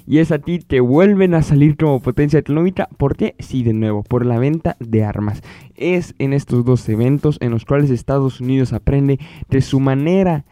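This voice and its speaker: A man's voice: mid-range voice, normal speed, dark tone, no echo. A man hosting a conversational news commentary podcast, addressing listeners directly and asking them rhetorical questions. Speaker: podcast host. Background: background music.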